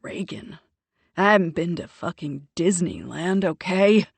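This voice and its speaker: A woman doing a grave, low-voiced brother. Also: very slow, creaky, breathy edge, no echo, heavily acted. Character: young man, grave and soothing brother.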